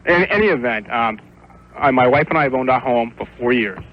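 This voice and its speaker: A man's voice: medium pitch, animated, a little fast. A man calling a radio show, putting his question politely and rather formally. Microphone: telephone. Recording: muffled, slightly noisy phone radio call.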